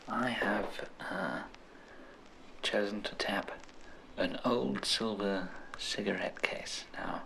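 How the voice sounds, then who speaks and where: A man with an English accent doing an old-fashioned ASMR role-play, hushed and soothing, with genteel, formal turns of phrase. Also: fairly low pitch, creaky, a little hiss or hum, low-quality recording. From England. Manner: slow, hushed, soothing delivery. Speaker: ASMR host.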